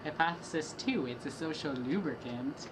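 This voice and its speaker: A woman's voice: low voice, moderate pace, noisy background. A young woman doing a dramatic reading of rap lyrics as a student project, trading rhythmic lines with a partner. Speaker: student reader.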